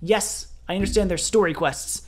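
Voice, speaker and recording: A woman's voice: fairly low pitch, moderate pace. A woman game streamer giving a ranting commentary, emphatic and repeating phrases for emphasis.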